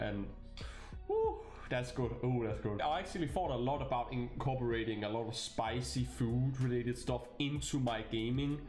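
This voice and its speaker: Chatty Danish man, lively swings in pitch. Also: reverberant. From Denmark. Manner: chatty, animated, jokey with bursts of laughter. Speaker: VTuber. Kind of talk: casual self-introduction video.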